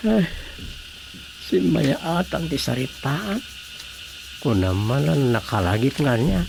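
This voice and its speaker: An actor playing a talkative provincial man in a radio comedy, with an Ilocano accent and a gravelly, folksy delivery. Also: a little high, background noise, heavily acted. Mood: musing.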